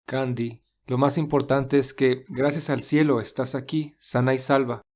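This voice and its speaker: A calm, caring young romantic lead, played by a man in an audio drama. He is soft-spoken and measured, with a protective, reassuring manner. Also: mid-range voice, lightly acted. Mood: tender, reassuring.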